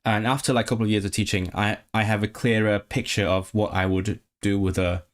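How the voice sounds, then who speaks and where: A man's voice: lowish voice, fairly even intonation, a little fast. A young man streaming live, chatting casually with viewers about his tutoring work, stopping and restarting as he thinks aloud. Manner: hesitant, many restarts and fillers, thinking aloud.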